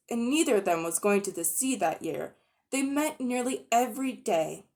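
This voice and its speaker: A woman giving an expressive dramatic reading of a children's novel. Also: mid-range voice. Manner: expressive storytelling. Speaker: reader.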